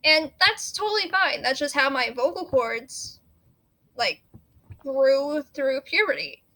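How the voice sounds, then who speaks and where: A woman's voice: sits high, big pitch swings, takes it slowly, bright, forceful tone, rough recording. A woman chatting casually and explaining things, throwing in little fun facts. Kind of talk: casual chat.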